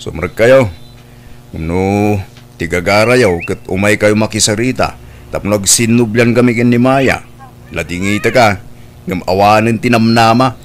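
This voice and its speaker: A man's voice: fairly low pitch, normal speed, a little hiss or hum, lightly acted. A man playing a hurt, stubborn husband in a radio drama, speaking in a firm, wounded manner. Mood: firm, regretful. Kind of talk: Ilocano radio drama acting.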